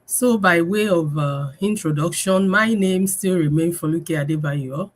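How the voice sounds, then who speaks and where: A woman's voice: lowish voice, measured pace. Woman hosting a live stream. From Nigeria. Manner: warm greetings, many fillers, laughs often. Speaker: host. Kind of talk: live-stream business talk.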